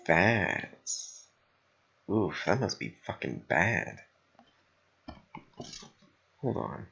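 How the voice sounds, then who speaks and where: Deep, gravelly young man, casually swearing. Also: big pitch swings, extremely slow, pushed and bright, muffled recording. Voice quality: slightly raspy, gravelly. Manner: rambling, blunt. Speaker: podcast co-host. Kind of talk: casual chat, heavy swearing.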